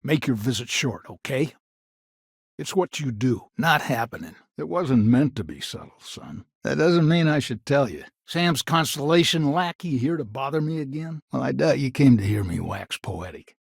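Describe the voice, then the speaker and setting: An actor playing a stern, proud old grandfather of a frontier family in a video game, gruff and curt, with a gravelly, husky voice and folksy turns of phrase. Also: medium pitch, sing-song, moderate pace, dry recording, clean studio sound, exaggerated voice. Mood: weary, stubborn. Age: older. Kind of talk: dramatic video game dialogue acting.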